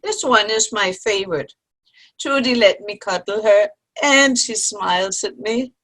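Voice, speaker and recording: A woman's voice: medium pitch, measured pace, fairly bright tone. A woman playing a cheerful, teasing grandmother among old friends in an amateur comedy play reading. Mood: fond. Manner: good-humored, teasing. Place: online video-call play reading.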